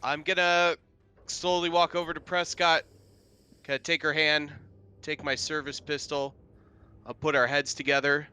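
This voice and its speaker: Bright, higher-voiced man playing a tabletop game. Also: little pitch movement, normal speed, pauses often, clear, resonant tone, soft background music. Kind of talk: casual in-game chat.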